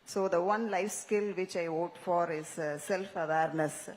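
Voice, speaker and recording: A woman's voice: fairly low pitch, moderate pace. A woman with an Indian English accent giving formal, earnest remarks as a summit panelist. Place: large conference hall. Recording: reverberant hall.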